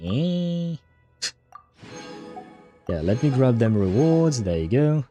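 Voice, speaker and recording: A man's voice: mid-range voice, very animated, slow, warm tone, soft background music. A man giving casual livestream commentary as he plays a game, muttering to himself and swearing now and then. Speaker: livestream host.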